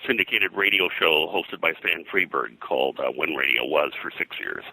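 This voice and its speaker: An older man reminiscing as an interview guest, in a halting, discursive way with detailed lists. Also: very deep voice, lively intonation, vocal fry, sharp tone, no echo, rough recording. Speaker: interview guest, veteran comics colorist.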